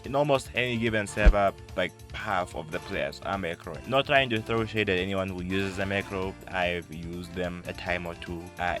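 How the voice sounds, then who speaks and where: A young man giving scripted commentary in a wry, self-aware way with casual asides. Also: low voice. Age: young adult. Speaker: YouTube video essayist.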